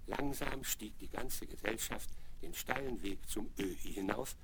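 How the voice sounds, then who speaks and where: A man's voice: on the low side, unhurried, vocal fry. A man narrating a scripted children's story for a 1970s German radio play. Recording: noisy 1970s vinyl rip with clicks.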